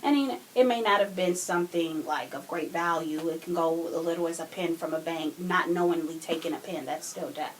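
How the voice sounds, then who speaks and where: A woman's voice: fairly low pitch, a little fast, few pauses, rough recording. A woman giving her opinions casually as a podcast guest, on a hissy home recording.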